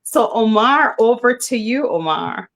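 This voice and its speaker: A woman's voice: a little high, lively intonation, unhurried, fairly bright tone. A middle-aged woman, hosting a live online business talk in an upbeat, welcoming way. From Jamaica. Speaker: host.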